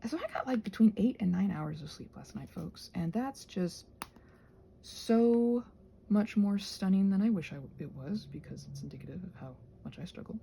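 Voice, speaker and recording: A woman's voice: mid-range voice, animated, moderate pace, gravelly, mellow, a little hiss or hum. A woman chatting casually in a whimsical, digressive way while she does crafts. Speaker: craft vlogger.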